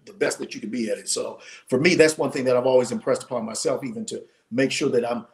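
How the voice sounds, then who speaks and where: Guest author, forties, male, animated storyteller on a home video call, voice tiring. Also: mid-range voice, fast talker. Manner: animated, storytelling with many restarts. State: losing his voice. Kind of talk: casual interview storytelling. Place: home room, indoors.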